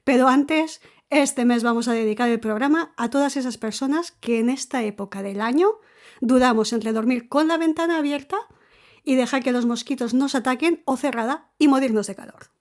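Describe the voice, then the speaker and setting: A woman's voice: high-pitched, normal speed. A woman hosting a casual culture podcast, cheerful and friendly, laughing often. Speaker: podcast host. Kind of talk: casual chat.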